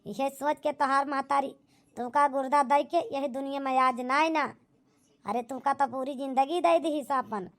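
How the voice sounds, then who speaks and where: A woman's voice: high voice, fairly even intonation, normal speed, close to an everyday voice. A woman voicing a reproachful older village aunt in an amateur cartoon drama, lecturing with an Awadhi accent. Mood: reproachful scolding.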